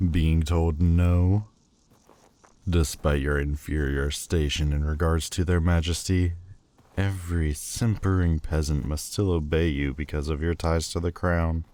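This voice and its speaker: A man voicing a deep, slow haughty demon lord. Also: dark tone. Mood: scornful. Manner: cold, cutting, haughty. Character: proud bitter male demon lord, scornful and lovesick. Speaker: voice actor. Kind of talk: audio roleplay acting.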